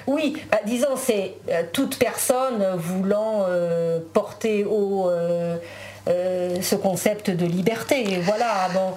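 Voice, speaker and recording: A woman's voice: mid-range voice, takes it slowly, dark tone, a little hiss or hum. A woman whose first language is Spanish, a guest in a casual interview chat, talking with many fillers and restarts.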